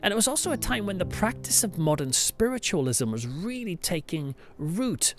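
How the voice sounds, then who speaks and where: A man's voice: high-pitched, sing-song, normal speed. A British man hosting a podcast, narrating in a storytelling way with emphatic phrasing and conversational asides. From United Kingdom. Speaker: podcast host and church pastor. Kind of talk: scripted narration with conversational asides, reflective review. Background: music behind the voice.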